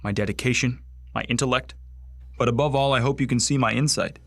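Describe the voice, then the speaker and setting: An actor playing a bitter, bigoted young man who wants to join a space marine corps, with an intense, indignant delivery and heavy pauses in a radio drama monologue. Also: mid-range voice, vocal fry, no room sound, lightly acted. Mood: proud, earnest. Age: young adult.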